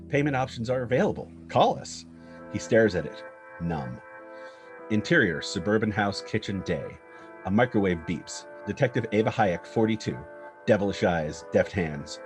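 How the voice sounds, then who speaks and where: A man reading screenplay stage directions as narrator, in short clipped scripted phrases. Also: lowish voice. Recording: guitar music bed under the voice.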